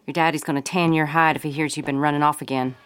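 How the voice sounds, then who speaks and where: A woman's voice: low-pitched, fairly even intonation, quick pace, clean tone, lightly acted. A woman playing a headstrong, folksy 1930s young mother with a Southern US accent in an old-time radio drama. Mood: exasperated.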